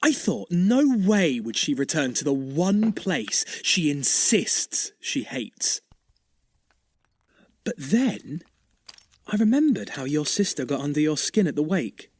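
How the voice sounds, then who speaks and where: An actor playing a menacing, controlling fiancé in an audio drama, sneering, cruel and condescending. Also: male voice, on the high side, big pitch swings, slow pace, no echo, heavily acted. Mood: sneering, contemptuous.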